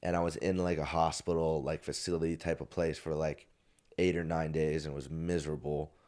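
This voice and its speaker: A young man in his twenties with a very deep, low voice talks on a podcast. He tells a personal story in a rambling, casual way, circling back and restarting his sentences. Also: little pitch movement, a little fast, a little mellow. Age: 20s. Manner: rambling, many restarts and fillers. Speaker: podcast guest. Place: podcast studio.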